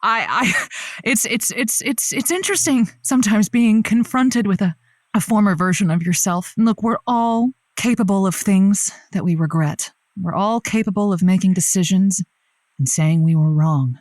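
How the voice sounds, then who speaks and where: A woman's voice: medium pitch, normal speed, darkish tone, dry recording, studio quality, lightly acted. A woman playing a caring, folksy, exasperated advice host on a call-in radio show in an audio comedy, coaxing emphatically and repeating her words. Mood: reassuring, animated.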